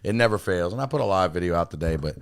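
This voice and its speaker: A man's voice: low-pitched, lively intonation, very fast, no room sound, clean studio sound. A man hosting a podcast, chatting casually and swearing often, with lots of "you know what I mean". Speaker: podcast host. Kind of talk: casual profane chat. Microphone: studio mic.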